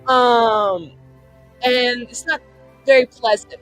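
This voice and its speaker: A woman casually improvising her character's story as a tabletop game player, in animated, slangy speech peppered with "like". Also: on the high side, takes it slowly, soft background music, poor audio. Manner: animated slangy chat full of "like".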